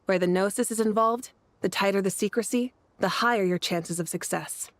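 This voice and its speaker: A woman's voice: mid-range voice, moderate pace, slight background noise, lightly acted. Woman playing a breezy, self-assured female leader. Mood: sincere, assured. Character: confident easygoing female leader god of a fiery nation. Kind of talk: video game voice acting. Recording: game music behind.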